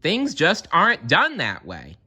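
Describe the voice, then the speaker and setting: A man's voice: on the high side, huge pitch swings, measured pace, sharp tone, breathy edge, pitched above the performer's own voice, exaggerated voice. A man voicing a sniffy, stubborn traditionalist ground squirrel in a storybook, insistent in manner. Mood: indignant.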